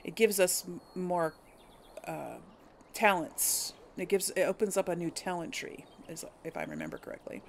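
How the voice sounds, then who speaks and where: A woman's voice: lowish voice, big pitch swings, normal speed. A woman doing casual game commentary, thinking aloud in a tentative way. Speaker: gaming video host and player. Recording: some background noise.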